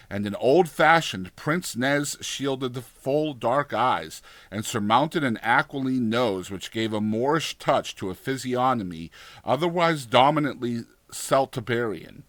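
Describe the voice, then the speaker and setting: A man reading a gothic horror story as a dramatized audiobook, with deliberate, theatrical delivery and formal, dramatic pauses. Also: medium pitch, a bit bright, no echo. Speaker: audiobook narrator.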